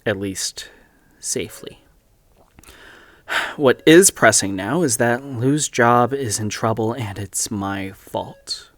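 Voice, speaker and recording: Audio drama role: young grieving investigator, halting and apologetic, medium pitch, very dry recording. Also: male voice, no room sound. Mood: brooding. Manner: hesitant, stammering, halting. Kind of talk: naturalistic audio drama acting.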